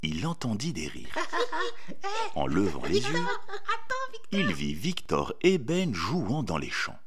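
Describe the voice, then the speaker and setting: A man narrating a scripted children's story expressively, with theatrical pauses. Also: low-pitched, wildly swooping pitch, slightly rough.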